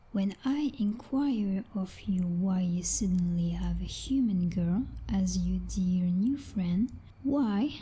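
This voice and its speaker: A woman playing a possessive, jealous female vampire knight in an ASMR roleplay, whispering in a breathy, condescending and coldly menacing way. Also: mid-range voice, dark tone. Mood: suspicious, interrogating. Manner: menacing, condescending, deliberate, teasingly formal.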